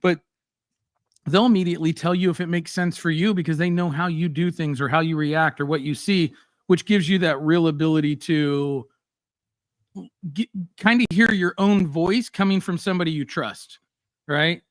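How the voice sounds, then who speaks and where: Guy hosting a live stream, kinda high voice, blunt and animated, dry close sound. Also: moderate pace, no echo. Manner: animated, blunt storytelling with frequent fillers. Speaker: host. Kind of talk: casual live-stream chat.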